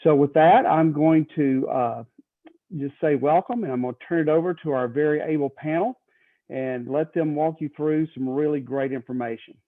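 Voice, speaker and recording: An upbeat man with a slightly high voice welcomes a webinar audience. His sound comes over a thin, tinny, phone-like line. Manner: upbeat, hands over with "uh" pauses. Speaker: webinar host. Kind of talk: welcoming remarks.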